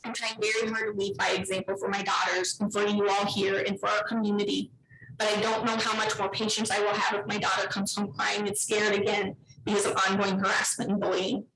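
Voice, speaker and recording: A woman giving an earnest, pleading public comment speech at a meeting, with deliberate phrasing. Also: medium pitch, fairly bright tone. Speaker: parent speaking at public comment. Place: indoor public board meeting room. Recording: echoey meeting room.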